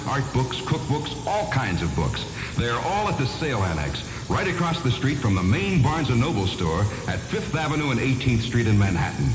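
A man reading a scripted retail radio commercial as the announcer. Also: medium pitch. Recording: reverberant 1970s AM radio. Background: music.

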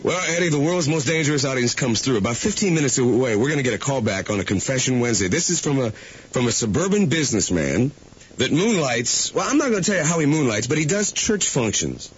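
A man co-hosting a morning radio show with lively banter and excitable, showy announcing. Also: mid-range voice, fast, slightly rough. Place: radio studio. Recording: muffled lo-fi radio aircheck.

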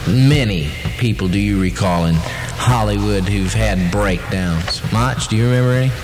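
A man's voice: fairly low pitch, moderate pace, music in the background. A man co-hosting a radio show, chatting casually and interjecting playful questions to his partner. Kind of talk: casual banter on air.

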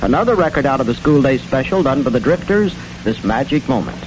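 An American man does a folksy radio DJ sales pitch in colloquial 1960s style. His voice sits at a middling pitch. The recording is an old AM broadcast with clear noise throughout. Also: sing-song, brisk. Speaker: radio DJ reading mail-order record ads. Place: radio studio. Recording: noisy 1960 AM radio aircheck.

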